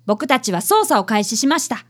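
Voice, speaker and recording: A woman's voice: fairly high pitch, big pitch swings, moderate pace. A woman playing a dutiful fifth-grade boy apprentice detective who narrates a radio drama. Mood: earnest narrating.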